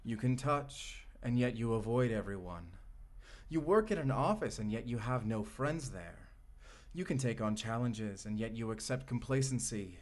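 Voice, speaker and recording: An actor playing a vengeful, obsessive male AI companion in a dark sci-fi audio roleplay, speaking in a deliberate, bitter, theatrical way. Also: mid-range voice, animated, lightly acted. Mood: cold, reproachful. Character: vengeful, obsessive male AI companion turned menacing robot lover.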